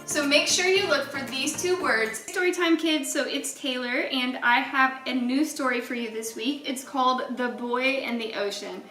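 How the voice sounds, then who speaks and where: A woman reading a children's story aloud in an expressive storytelling style, with deliberate, emphatic wording. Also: sits high, echoey room, distant mic, soft background music, poor audio.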